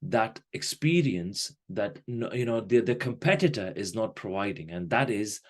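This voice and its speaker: A man's voice: on the low side, animated, normal speed. A man hosting a podcast interview, asking long, winding questions with fillers and restarts. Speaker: podcast host.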